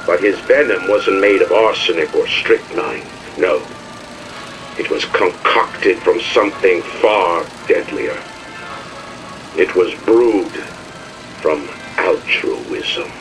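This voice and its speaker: An actor playing a pompous industrialist ruler, delivering theatrical, oratorical propaganda with emphatic grandeur. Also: male voice, low voice, slow pace, music in the background, low-quality recording. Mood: stern, indignant. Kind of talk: propaganda address, theatrical game voice acting.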